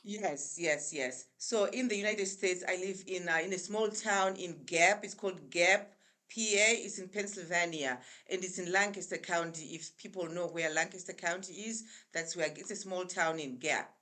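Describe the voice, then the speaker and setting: Low-voiced Zimbabwean woman in her sixties. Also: fairly bright tone. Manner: chatty, unhurried storyteller with frequent pauses. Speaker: guest. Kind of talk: casual storytelling interview.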